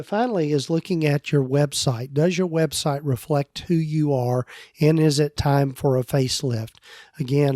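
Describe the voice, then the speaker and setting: A middle-aged man hosting a podcast alone, his voice going hoarse, talking loosely with self-corrections. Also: on the high side, darkish tone, no echo, studio quality. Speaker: podcast host and practice consultant. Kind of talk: solo podcast talk.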